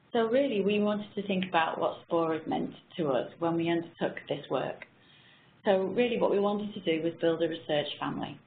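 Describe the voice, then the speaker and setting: Husky quiet lady presenting a webinar, low gravelly voice, echoey phone-like call audio. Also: slight background noise. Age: middle-aged. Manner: measured, with frequent ums. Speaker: presenter, nurse researcher. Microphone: computer mic on a web call.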